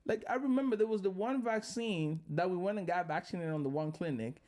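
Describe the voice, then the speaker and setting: A man's voice: high-pitched, fairly quick, a little mellow. Loose-talking man from the Dominican Republic. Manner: loose, profane, joking storyteller. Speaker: podcast host. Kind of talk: casual chat.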